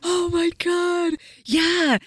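A woman guest in a casual podcast chat, animated and exclamatory, laughing often. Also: very high voice, extremely slow, dry recording.

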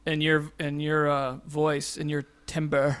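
A man's voice: a little high, monotone, slow pace, a bit bright, clean tone. A man from North Carolina chatting on a podcast, enthusiastic and playful, rambling a little. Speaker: podcast guest. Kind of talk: casual chat.

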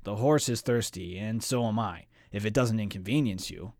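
Man reading as a calm, laconic monster hunter. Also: on the low side, moderate pace, no room sound, clean studio sound, natural voice. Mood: calm, cool. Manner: cool, understated, polite. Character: calm, laconic, unafraid male monster-hunting swordsman.

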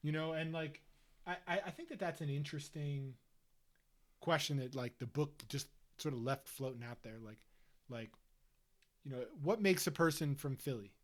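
A man's voice: a little high, moderate pace. A man from the Philadelphia suburbs co-hosting a casual book-club chat, thinking aloud in a rambling way with heavy use of "like". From Delaware County, Pennsylvania. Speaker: podcast co-host.

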